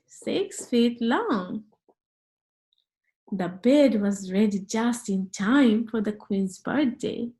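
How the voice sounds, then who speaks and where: Woman for children's read-aloud and quiz: very slow, lively pitch swings, slightly high voice, clear teacherly address. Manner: deliberate, instructional, addressing young listeners directly.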